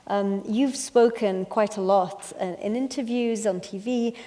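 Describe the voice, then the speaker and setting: A woman's voice: mid-range voice, measured pace, mellow. A woman moderating a conference panel and asking questions in a hesitant, searching way. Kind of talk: interviewing on stage. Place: conference hall. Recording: long hall reverb.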